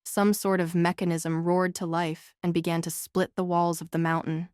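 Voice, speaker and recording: A woman's voice: fairly low pitch, level tone, normal speed, a little mellow, clean tone, no echo, clean studio sound. A woman reading scripted first-person novel narration as an audiobook narrator.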